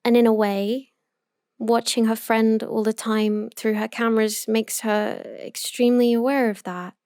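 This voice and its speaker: An obsessive young woman, played by a voice actress, speaks in a high voice at an unhurried pace. She is possessive and emotionally intense, delivering an intimate roleplay monologue as if confiding in her best friend. The sound is close and very dry. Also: level tone, darkish tone, no echo. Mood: insistent, lovesick. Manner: slow, deliberate, emotionally intense storytelling.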